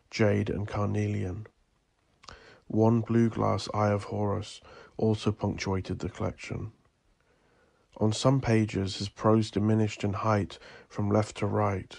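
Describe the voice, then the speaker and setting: Gravelly, deep-voiced man narrating dark horror. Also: no room sound. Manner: theatrical, ominous, deliberate delivery with drawn-out phrases. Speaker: audio drama narrator.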